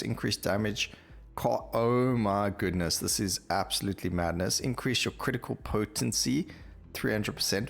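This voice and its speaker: A man's voice: on the low side, gravelly. A man hosting a gaming video, giving casual, conversational commentary and thinking aloud as he plays. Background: game music.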